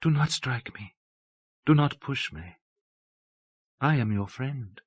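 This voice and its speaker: Man voicing a soft, childlike, pleading old abbess. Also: on the low side, lively intonation, a little slow, dry recording, voice pushed down. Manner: dreamy, breathless, pleading. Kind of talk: dramatic audiobook storytelling.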